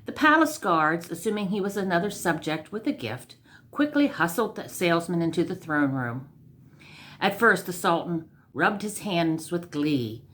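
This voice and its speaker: A woman's voice: on the low side, sing-song, normal speed, a bit bright. A woman storyteller reading a scripted comic tale aloud.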